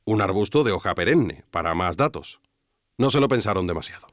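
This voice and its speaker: An actor playing an earnest, self-satisfied investigative podcast host, narrating a mock documentary. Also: male voice, deep voice, animated, a little fast, no echo. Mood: wry.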